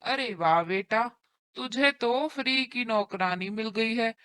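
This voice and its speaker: A woman's voice: on the high side, slow, a bit bright, clean tone, no echo, studio quality. A woman voicing a gloating, self-satisfied middle-aged mother in a cartoon story. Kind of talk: scripted cartoon story dialogue.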